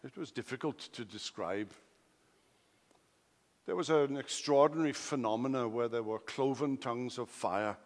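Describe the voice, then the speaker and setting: A man with a Welsh accent, a native Welsh speaker, preaching an expository sermon, emphatic, swinging between loud declarations and quiet asides. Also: mid-range voice, normal speed. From Wales. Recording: long hall reverb.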